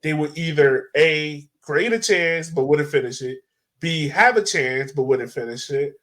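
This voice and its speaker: A man hosting a casual sports podcast, talking in an excitable, slangy way. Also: on the high side, measured pace. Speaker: podcast host.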